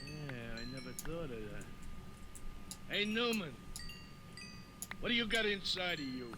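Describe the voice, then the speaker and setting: Suspicious tough-guy soldier, belligerent, lively pitch, noisy old radio recording. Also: a little slow. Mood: grumbling. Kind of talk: old-time radio drama acting.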